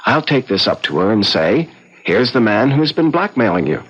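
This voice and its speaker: An actor playing an evasive, flustered young schemer in a 1970s radio drama, stammering and hesitant. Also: male voice, fairly low pitch, big pitch swings, normal speed, a bit bright, slightly rough, lo-fi sound, heavily acted. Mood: scheming. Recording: vintage 1970s radio broadcast.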